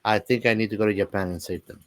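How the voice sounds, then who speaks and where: A man's voice: on the low side, steady tone, racing, no echo. Informal man, very dry close sound. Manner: informal, often ends phrases with "man". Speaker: podcast co-host. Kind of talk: casual film podcast chat.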